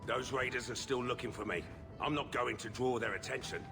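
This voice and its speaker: A man's voice: medium pitch, fairly even intonation, fairly quick, vocal fry, over music, close to an everyday voice. A man playing a shifty, evasive smuggler, aggrieved and defensive, in a video game. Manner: defensive, exasperated. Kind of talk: video game dialogue acting.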